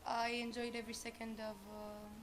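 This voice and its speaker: A young woman with a Romanian accent giving casual, halting answers as an exchange student guest. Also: a little high, monotone, background noise. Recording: clear music playing behind.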